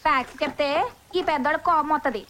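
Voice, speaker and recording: Pert, teasing young-sounding maid; high, fast voice for a film comedy. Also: female voice, pushed and bright, slight background noise. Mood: cheeky, teasing. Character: cheeky, back-talking household maid. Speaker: actress in a film. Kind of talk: comic family film dialogue. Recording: film soundtrack with clear music behind.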